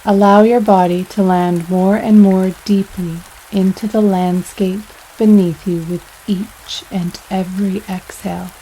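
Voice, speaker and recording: A woman leading a guided yoga nidra meditation in a soothing, unhurried way, pausing between phrases. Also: mid-range voice, slightly rough, low-quality recording. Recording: steady rain sounds over voice. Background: rain sounds.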